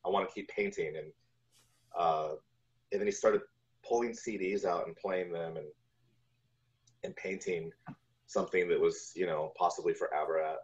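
A man's voice: low voice, steady tone, moderate pace, long pauses. A man in his forties chatting casually as an interview guest, telling stories from his work. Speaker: guest, video game composer. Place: home room on a video call. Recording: dull lo-fi video call audio.

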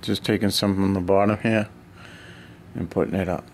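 A man with a deep, low voice talks loosely to himself as he works, trailing off into fillers. The sound comes from a camera near his hands in a cluttered basement room, with a faint hum of room noise behind him. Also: fairly even intonation, normal speed, a little mellow. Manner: rambling, thinking aloud with fillers. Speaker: miniature painting hobbyist. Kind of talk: casual hobby chat while painting.

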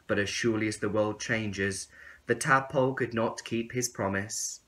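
A man in his thirties reading a storybook aloud to young children in an expressive, deliberate way. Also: fairly low pitch.

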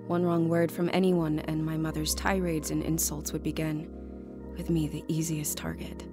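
A woman playing a reflective adult narrator in a radio drama, measured and wistful in first person. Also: low voice, little pitch movement, music in the background, natural voice. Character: reflective adult woman recalling a hard childhood.

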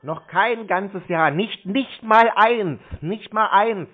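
A man's voice: high voice, takes it slowly. A man in a confrontational phone conversation, blunt and insistent, repeating himself for emphasis. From Germany. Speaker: scam baiter confronting a phone scammer. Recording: narrow tinny phone-like sound.

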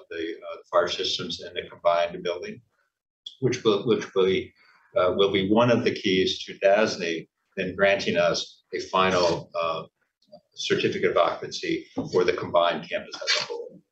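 A man giving a formal project report to a board of directors, in a hesitant, measured way with frequent pauses. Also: low voice, a bit bright, a little hiss or hum. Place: large indoor conference room. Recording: echoey, dull sound picked up from far off in a boardroom.

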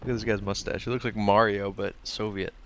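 A man's voice: lowish voice, flat delivery, a little fast, noisy background. A man in casual game chat with friends, joking and swearing as he plays. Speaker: co-op gaming partner.

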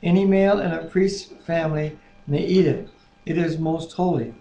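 A man in his sixties reading scripture aloud in a measured, deliberate way, with brief bits of chat. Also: a little high, steady tone, creaky, warm tone, highs cut off. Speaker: Bible reader and co-host. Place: living room at home, indoors. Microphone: webcam at arm's length. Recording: roomy, echoing webcam sound.

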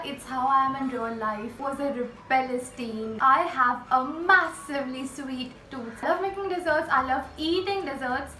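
An eighteen-year-old woman chats straight to camera, her voice high and sharp-edged. She talks in a small room with a roomy echo, and her hands are busy as she speaks. Soft music plays faintly underneath. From India. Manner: expressive, gestures with her hands. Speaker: YouTuber. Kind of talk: casual facts-list vlog. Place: small indoor room by striped blinds. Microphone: camera in front, chest-up framing.

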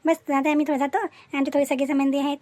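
A very high-pitched, soft-toned cartoon village mother , voiced by a woman. She is greedy and conniving, coaxing and blunt by turns in a Varhadi Marathi accent. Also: little pitch movement, fast, no echo, lightly acted. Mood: falsely agreeable. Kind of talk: comic cartoon dialogue.

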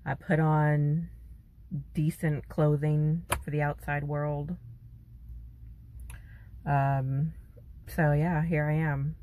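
A woman's voice: low voice, warm tone, dry recording. A woman in her thirties from California, chatting casually to her phone camera, first in a parked truck and then at home, sighing and grumbling. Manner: slow, sighing, grumbling complaints. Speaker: vlogger. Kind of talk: casual vlog chat. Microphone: phone at arm's length.

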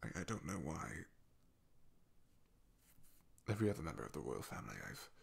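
A man playing a young, humble, devoted knight in an ASMR roleplay, soft-spoken and halting, with a breathy, gravelly half-whisper. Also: a bass voice, very animated, full character voice. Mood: confused, grateful.

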